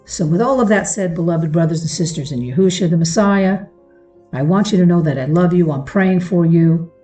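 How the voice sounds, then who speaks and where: A woman's voice: lowish voice, moderate pace, mellow, faint music behind. A woman giving an informal devotional talk to her followers, speaking in an earnest and affectionate way. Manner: earnest, affectionate address to listeners. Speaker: host of a religious teaching show. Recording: roomy echo, far from mic.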